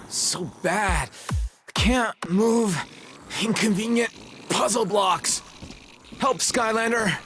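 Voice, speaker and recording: A man's voice: high-pitched, background noise. An actor voicing a whiny, overburdened, melodramatic male video game character who groans out his words, with music behind. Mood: drained, miserable. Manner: whining, groaning, drawn-out.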